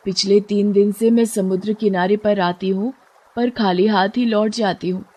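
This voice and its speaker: A woman's voice: mid-range voice, steady tone, moderate pace, mellow, natural voice. A woman playing a poor, devout, kind-hearted older fisherwoman in a moral story, speaking earnestly. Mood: torn, compassionate. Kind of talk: moral storybook cartoon voice acting.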